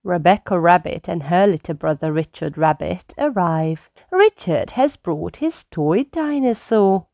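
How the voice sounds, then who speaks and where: A woman reading a children's story aloud in an animated manner aimed at young children. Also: lowish voice, measured pace, vocal fry, hardly any pauses, no room sound, studio quality.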